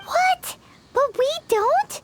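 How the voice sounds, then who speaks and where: Tiny fairy companion, very high squeaky voice, sassy, childlike, game character. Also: female voice, animated, very slow, heavy background noise, heavily acted. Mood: indignant.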